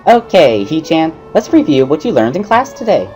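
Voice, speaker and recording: A woman puts on a bubbly schoolgirl tutor from a visual novel, pitched up and swooping with enthusiasm. She is perky and pushy, giggles readily and leans hard on her words. Music plays behind her. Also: very animated, dark tone. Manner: giggly, perky, emphatic, with drawn-out laughs. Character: bubbly, pushy schoolgirl, earnest amateur sign language tutor.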